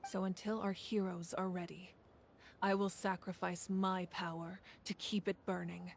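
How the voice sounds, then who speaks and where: An actress voicing a proud, protective female ruler in a video game, speaking calmly, steadily and with resolve. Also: fairly low pitch, measured pace, creaky, slight background noise. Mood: resolute calm.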